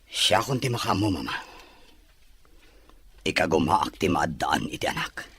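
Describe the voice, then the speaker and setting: Deep-voiced bitter young man. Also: animated, unhurried, a bit bright, husky, exaggerated voice. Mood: stubborn. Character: bitter wealthy young bachelor son scorning women. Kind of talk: Filipino radio drama acting.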